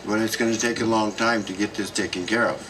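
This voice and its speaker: A man speaking at a gathering in a slow, halting way, with many pauses and fillers. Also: fairly low pitch, steady tone, a bit bright. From South Dakota, United States. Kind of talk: halting public address. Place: outdoor gathering. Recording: distant mic with noise.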